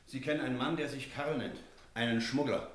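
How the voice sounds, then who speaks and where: An actor playing an energetic, rational village priest in a German radio drama, speaking in a decisive, emphatic way. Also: male voice, medium pitch, brisk, slight background noise, lightly acted. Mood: agitated, urgent. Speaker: character in a radio play.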